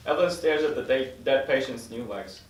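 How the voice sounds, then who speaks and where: A man narrates stage directions from a script at a quick clip. His pitch is middling, and the room rings around him as the mic picks him up from a distance. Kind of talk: scripted narration at a table read. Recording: echoey room, distant mic, faint noise.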